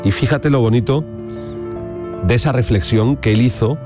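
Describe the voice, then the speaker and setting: A man's voice: medium pitch, normal speed. A man, hosting a radio show in a chatty, conversational way. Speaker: radio host. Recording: radio with music bed.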